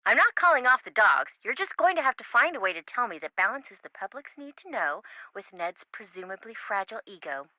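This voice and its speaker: A woman's voice: a little high, sing-song, fairly quick, hardly any pauses, bright, forceful tone, dry recording, lo-fi sound. An actress playing a sassy young woman, a wisecracking best friend in a video game, with a teasing, sarcastic manner. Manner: teasing, sarcastic, dryly exaggerated.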